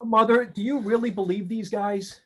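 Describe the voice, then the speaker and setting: A woman's voice: medium pitch, steady tone, moderate pace, natural voice. A woman playing a defiant adult son who rants sarcastically. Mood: pleading. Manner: ranting, sarcastic, confrontational.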